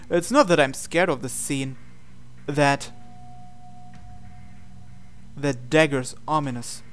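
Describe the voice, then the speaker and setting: A man with a somewhat high voice narrates a visual novel playthrough. He reads the story text dramatically and adds his own commentary as host. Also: fairly bright tone. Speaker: host reading a game aloud.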